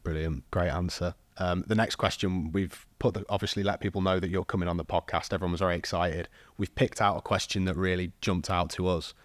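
A man's voice: deep voice, fairly even intonation, brisk, hardly any pauses, no echo. A man hosting a podcast, putting questions to his guest in a conversational way. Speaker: podcast host. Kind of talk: conversational interviewing.

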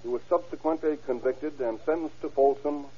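Mellow, husky American male radio narrator. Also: on the high side, brisk. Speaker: program's closing commentator. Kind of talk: scripted formal 1930s radio narration. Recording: dull old radio recording.

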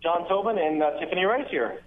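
A man's voice: high voice, fairly quick. A man calling into a radio show from a party, upbeat and chatty. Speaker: phone-in guest. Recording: tinny phone line with faint noise.

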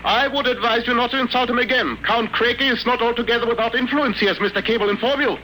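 An actor playing an eccentric, cat-doting count in a sanatorium, fussy and theatrical with veiled threats, in 1940s radio acting style. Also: male voice, extremely high pitch, fast talker, bright, forceful tone, slight background noise, low-quality recording. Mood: miffed.

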